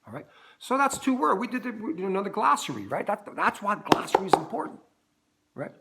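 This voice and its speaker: An animated middle-aged man with a low bass voice and a lively, swooping pitch teaches children about music. His tone is bright and forceful, and he keeps asking "right?" as he talks. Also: brisk, vocal fry. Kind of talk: playful lesson for kids.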